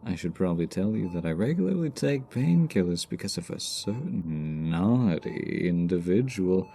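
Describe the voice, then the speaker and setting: A man's voice: sits low, animated, normal speed, gravelly, warm tone, a little hiss or hum. A man acting a smug, flirtatious supervillain in a hospital ASMR roleplay, teasing and theatrically sarcastic. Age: 20s.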